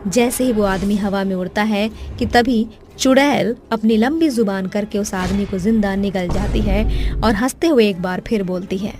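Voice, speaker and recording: A female narrator tells a scripted cartoon horror tale. Her voice is mid-pitched, and faint music plays behind her. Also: moderate pace, a little mellow. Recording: background music under the voice.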